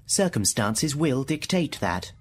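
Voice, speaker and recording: A man's voice: mid-range voice, sing-song, slow pace, husky, exaggerated voice. A man playing a formal, unemotional talking computer in a radio drama, speaking in precise, literal statements. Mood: formal, matter-of-fact. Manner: precise, clipped, literal delivery of data.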